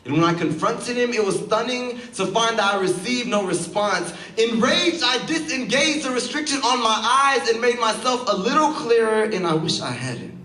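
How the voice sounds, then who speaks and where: A man performing rhymed spoken word poetry with a dramatic, deliberate and emphatic delivery. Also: very high-pitched, expressive, bright, forceful tone. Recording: roomy, distant mic with background noise.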